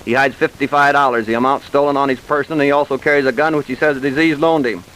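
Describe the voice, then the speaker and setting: An actor playing a bullying, hard-boiled American detective in an old-time radio crime drama, tough and sarcastic. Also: male voice, mid-range voice, steady tone, fast talker, fairly bright tone, close to an everyday voice, low-quality recording. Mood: suspicious, sarcastic. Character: bullying, hard-boiled male police detective grilling a suspect. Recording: hissy old radio recording.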